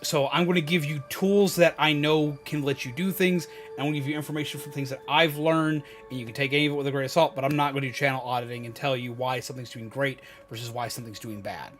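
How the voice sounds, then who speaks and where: A man's voice: mid-range voice, fairly even intonation, quick pace, few pauses, a bit bright, soft background music. A man hosting a gaming livestream, chatting casually to viewers with rambling, emphatic repetition and some swearing. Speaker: gaming streamer and host. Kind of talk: casual livestream chat, giving advice to viewers.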